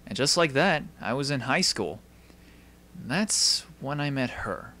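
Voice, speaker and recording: Male actor as cynical teen schoolboy narrator, anime dub, dry and wry, forward bright tone. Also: medium pitch, lively intonation, unhurried, a little hiss or hum. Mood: wry, resigned. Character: cynical deadpan teenage schoolboy narrator, wistfully world-weary.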